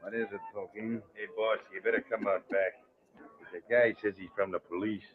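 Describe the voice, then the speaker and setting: A man's voice: lowish voice, steady tone, brisk, lightly acted. A man acting a surly, wary gangster in vintage radio-drama style. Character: surly, wary gangster men, boss and henchman. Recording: muffled, hissy old radio recording.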